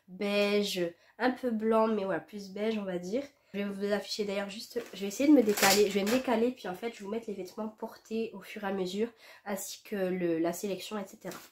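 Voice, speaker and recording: A woman's voice: mid-range voice, darkish tone. Young woman with a stuffy cold voice. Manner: chatty, many fillers and restarts. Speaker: fashion vlogger. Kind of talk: casual haul chat to camera. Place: at home, indoors.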